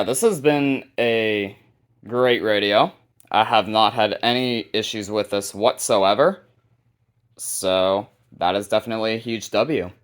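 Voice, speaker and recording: A man hosting a casual, unscripted hobby video showcase, talking informally and a bit haltingly. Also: lowish voice, clean tone. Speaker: hobbyist video host showing a radio collection.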